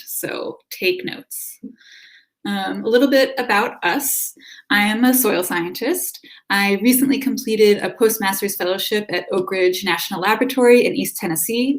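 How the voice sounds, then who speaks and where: A woman scientist in her thirties presenting her work over a video call in a friendly, clear way. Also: medium pitch. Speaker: soil scientist guest.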